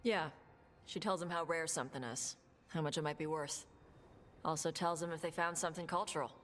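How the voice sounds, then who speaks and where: A woman playing a blunt, laconic young dragon hunter and treasure seeker in a video game, talking gruffly and informally. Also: sits low, steady tone, brisk, a bit bright, a little hiss or hum. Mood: earnest, plain. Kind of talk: video game dialogue acting. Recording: music under the dialogue. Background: game music.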